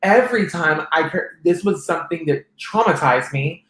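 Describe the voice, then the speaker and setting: A man in his thirties with a soft, feminine-sounding voice, telling his life story as an interview guest, with frequent restarts. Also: a little high, lively intonation, normal speed, roomy sound, rough recording. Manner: rambling, many restarts and fillers. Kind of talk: personal testimony in conversation.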